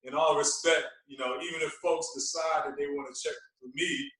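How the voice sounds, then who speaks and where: A man's voice: on the high side, fairly even intonation, brisk, fairly bright tone, slight background noise. A man speaking as a DJ guest on a podcast, chatting casually in slang, with a very echoey sound. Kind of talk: casual chat. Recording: very echoey, roomy remote sound.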